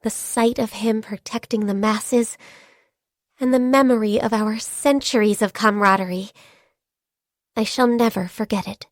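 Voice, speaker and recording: Actress as shy young female game character, diligent secretary, gentle, polite, earnest, high-pitched, dry recording. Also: fairly even intonation, normal speed, no room sound, close to an everyday voice. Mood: earnest, formal. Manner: polite, earnest, hesitant when flustered. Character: gentle, diligent, shy half-beast secretary, youthful woman. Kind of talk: video game character voice lines.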